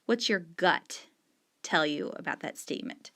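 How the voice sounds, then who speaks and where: A middle-aged woman speaks as a teacher walking students through reading questions. She talks in an unhurried, deliberate way, leaning on key words and repeating each question while she marks up the page with a pen. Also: fairly low pitch, expressive, a bit bright. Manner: annotating on screen with a pen while talking. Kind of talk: explaining discussion questions to students.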